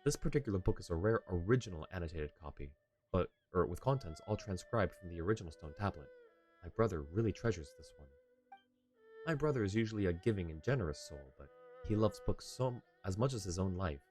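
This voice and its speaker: A man's voice: low voice, animated, quick pace, a little mellow. A man voicing a polite, talkative young swordsman from a game, with a courtly and lightly playful manner. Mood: chatty, earnest. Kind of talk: reading game dialogue in character. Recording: game music behind.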